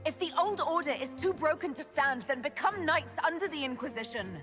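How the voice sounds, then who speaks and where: An actress playing a resolute, diplomatic leader in a fantasy game cutscene, composed, earnest and decisive. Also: female voice, high-pitched, animated, fairly quick. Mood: commanding. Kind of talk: video game cutscene acting. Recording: game music behind the voice.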